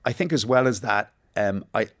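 A man's voice: on the low side, moderate pace. A man in his fifties, a chatty guest telling stories in an interview and laughing easily. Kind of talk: relaxed interview chat.

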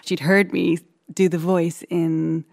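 A woman's voice: on the low side, level tone, slow pace, creaky, darkish tone. An Irish woman, a voice actress appearing as a talk show guest, speaking in a self-effacing way and often laughing as she talks. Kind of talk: casual interview chat.

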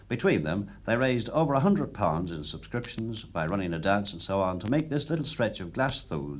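An Irish man reporting and interviewing in a jocular 1950s radio style, recorded on a street for an old newsreel. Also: sits low. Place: outdoors on a busy street. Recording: noisy 1950s archive outdoor radio recording.

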